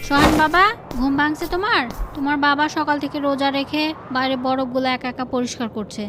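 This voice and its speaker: A woman voicing a village mother who talks briskly, scolding yet tender. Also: high-pitched, steady tone, heavy background noise, close to an everyday voice.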